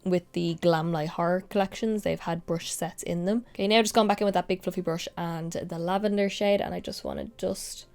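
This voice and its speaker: A young woman talks her way through a makeup look in a relaxed, chatty way. Her voice carries a slight nasal, sniffly edge from a cold she is still getting over, and she slips into soft whispers now and then. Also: on the low side, fairly quick, few pauses, soft background music. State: congested, recovering from a cold. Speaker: makeup vlogger. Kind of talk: casual makeup tutorial chat.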